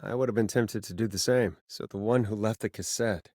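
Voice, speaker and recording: A man's voice: fairly low pitch, brisk, a little mellow, no room sound, clean studio sound, natural voice. An actor playing a wry radio DJ in a video game, a man who talks in a conversational way. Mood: wry, pensive. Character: Forrest Nash, wry late-night radio DJ, a grown man. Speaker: radio host.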